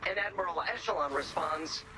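A man's voice: a little high, normal speed, background noise, natural voice. A man voicing an officious, polite conflict-resolution robot in a video game, formal and earnest. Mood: critical, earnest. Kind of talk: video game character voice acting.

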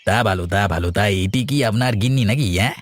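A man's voice: on the low side, a little fast, dry recording, natural voice. A man playing a chatty, nosy, leering village local in a horror-comedy cartoon, with an ingratiating, hesitant manner.

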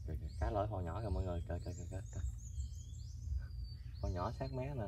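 A man with a Southern Vietnamese accent giving excited live commentary while fishing at a rural pond, repeating words as fish bite. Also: low voice, rapid-fire, noisy background. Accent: Southern Vietnamese (Mekong Delta). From Mekong Delta, Vietnam. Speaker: fishing vlogger. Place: outdoors by a muddy pond in rural greenery. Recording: outdoor ambience with birds.

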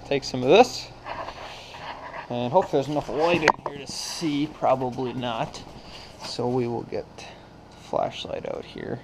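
A man's voice: mid-range voice, a little slow, long pauses, background noise. A young man talking casually to his action camera as a vlogger, in a garage, in the snow and in his truck, rambling with lots of "so" and "yeah.". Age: young adult. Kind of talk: casual vlog narration.

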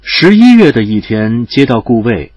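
A man narrating a campus romance audio drama as a gentle storyteller, his phrases trailing off softly. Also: mid-range voice, big pitch swings, normal speed, dry recording, dull audio. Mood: tender, dramatic. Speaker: narrator and actor. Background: music.

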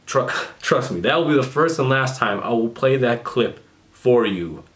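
A man's voice: mid-range voice, animated, normal speed, fairly bright tone. A young man recording a casual commentary video in a small bedroom. He is sarcastic and swears a lot as he mocks what he is reviewing. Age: young adult. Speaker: commentary youtuber.

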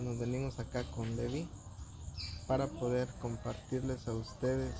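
A man's voice: medium pitch, creaky. A man with a Guatemalan accent hosting a casual vlog, talking into a phone outdoors on a building site. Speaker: vlog host. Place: open, roofless block-house building site outdoors. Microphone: handheld phone behind the camera. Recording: noisy outdoor phone recording.

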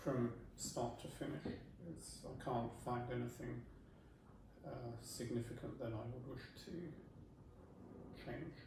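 Measured man in an echoey home room. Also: medium pitch, fairly even intonation. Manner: measured, polite, appreciative. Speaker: client giving feedback. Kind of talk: casual interview. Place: home dining room, indoors. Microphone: far camera. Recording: echoey room, far from mic, noisy.

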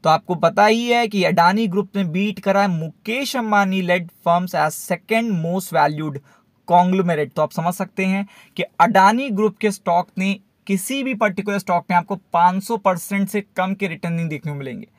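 A man's voice: high-pitched, moderate pace, dry recording, studio quality. A man with an Indian accent giving explanatory market commentary as a video host, repeating himself and mixing in English terms.

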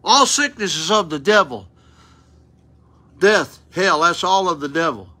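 An older man with a drawl preaches to a small church, recorded up close on a phone. His voice is high and very bright, and he throws out "huh?" and "you know what happened?" as he goes. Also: expressive, measured pace. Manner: folksy, rhetorical questions, loud unamplified voice. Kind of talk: informal sermon with Bible reading. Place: small church room, indoors. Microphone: phone camera close up.

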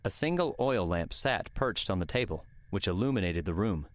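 A man's voice: deep voice, expressive, moderate pace. A man reading scripted audiobook narration of a story.

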